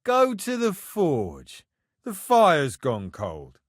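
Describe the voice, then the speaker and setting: A grumbling castle blacksmith from a medieval video game. His voice sits high for a man and swings animatedly as he orders, scoffs and mocks in blunt, colloquial phrases. The sound is dry and close, with no room on it. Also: wildly swooping pitch, drawn-out words, no room sound, exaggerated voice. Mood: instructing, wry. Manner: blunt, sardonic, exasperated, rough colloquial phrasing. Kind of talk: video game character dialogue.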